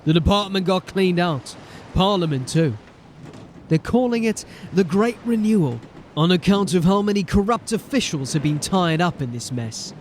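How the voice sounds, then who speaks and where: An actor playing a wry, resolute city watch sergeant in a fantasy audio drama. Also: male voice, high voice, expressive, normal speed, a little hiss or hum. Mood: grimly resolute. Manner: commanding, wry, grimly determined. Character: gruff, resolute male city watch sergeant turned captain. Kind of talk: audio drama acting and first-person narration. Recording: music behind the voice. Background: music.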